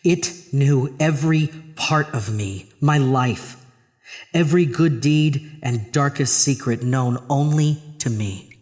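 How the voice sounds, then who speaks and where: A man's voice: medium pitch, slow pace, a little mellow, in a large hall, lightly acted. A man acting as a troubled husband who narrates his own horror story in an audio drama. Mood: haunted. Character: Arthur, troubled married man haunted by a drain. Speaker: narrator and lead actor.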